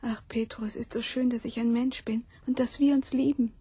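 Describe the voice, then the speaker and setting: Dreamy anxious lover in a radio play, breathy n slow, mellow, close dry sound. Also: female voice, on the high side, steady tone, no room sound. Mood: tender relief. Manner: slow, breathy, half-whispered. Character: frightened, dreamy young woman in love. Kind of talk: German radio play acting.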